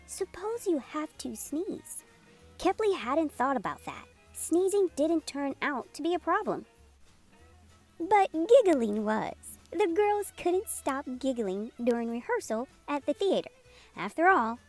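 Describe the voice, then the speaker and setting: Animated, high-pitched woman reading a storybook. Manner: animated, unhurried storytelling for young children. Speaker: children's storytime host and reader. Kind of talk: expressive picture-book read-aloud narration. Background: music playing under the voice.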